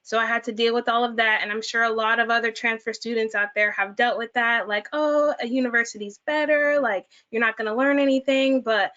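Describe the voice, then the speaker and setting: A woman's voice: on the high side, steady tone, fairly quick, hardly any pauses, sharp tone, muffled recording. Earnest young woman panelist. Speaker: transfer student panelist. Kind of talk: panel discussion answer.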